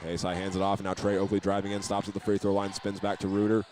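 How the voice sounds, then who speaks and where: Fast-talking American male basketball announcer. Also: sits low, completely flat delivery. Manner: running present-tense description of each move. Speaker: play-by-play sports announcer. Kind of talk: live basketball radio play-by-play commentary.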